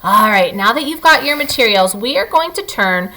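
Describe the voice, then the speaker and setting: A woman speaking as a teacher to young children. Her delivery is expressive, with lively swings in pitch and a bright tone. She addresses her listeners directly, as in a read-aloud or lesson. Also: normal speed, low-quality recording.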